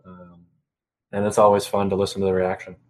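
A man's voice: low-pitched, completely flat delivery, fairly quick. A young man co-hosting a podcast in casual conversation. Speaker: podcast co-host.

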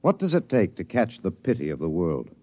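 Casting: driven radio editor-narrator, low voice, serious and candid, close dry sound. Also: male voice, wildly swooping pitch, brisk, no room sound, exaggerated voice. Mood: grave, dramatic. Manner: off-the-cuff asides, then grave dramatic delivery. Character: driven, candid newsman recording a solemn documentary narration. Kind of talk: 1950s radio drama narration. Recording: dry, dull old radio recording.

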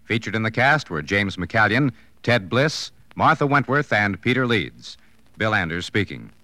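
A man reading scripted credits and promos as a polished, measured 1950s radio announcer. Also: on the low side, big pitch swings. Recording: vintage radio broadcast over loud music.

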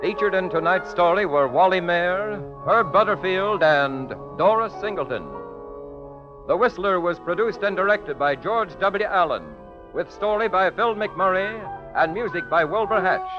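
An American man announcing in a formal, polished, scripted 1940s radio style. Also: high voice. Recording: muffled vintage radio recording. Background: music.

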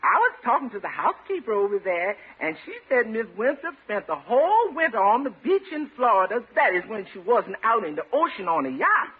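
An actress playing a cheerful, teasing, loyal housekeeper with folksy talk in a vintage radio comedy. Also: female voice, fairly high pitch, very animated, a little fast, hardly any pauses, slightly rough. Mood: gossipy. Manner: folksy, colloquial, exclamatory. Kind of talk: 1940s-50s radio comedy acting. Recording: tinny, noisy vintage radio recording.

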